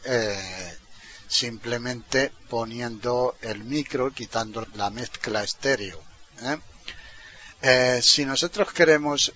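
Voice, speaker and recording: A man from Spain talks listeners through software step by step in an informal, explanatory way. He often rounds off a phrase with a short questioning tag. The sound is dull and lo-fi. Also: mid-range voice. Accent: Spanish from Spain. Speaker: tutorial presenter. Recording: dull, lo-fi computer microphone recording.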